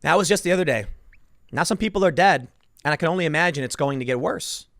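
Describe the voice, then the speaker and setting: Higher-pitched man, emphatic political commentary. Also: animated, quick pace, fairly bright tone, no echo, studio quality. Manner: emphatic, indignant, repeats phrases for stress. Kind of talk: impassioned solo political commentary.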